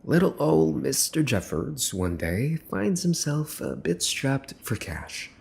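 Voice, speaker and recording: A man's voice: fairly high pitch, very animated, takes it slowly, vocal fry, darkish tone, voice pushed up, exaggerated voice. A man playing a mafia boss's son in an ASMR roleplay, smug, teasing and menacing, with a mocking theatrical drawl. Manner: mocking, theatrical drawl with mock-cute wording. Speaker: voice actor.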